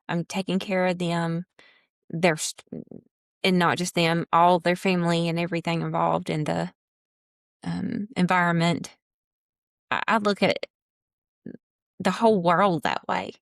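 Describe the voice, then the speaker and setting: A woman hosting a casual conversational interview, speaking haltingly and often repeating or circling back on her phrases. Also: fairly low pitch, steady tone, gravelly, no echo, studio quality. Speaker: host.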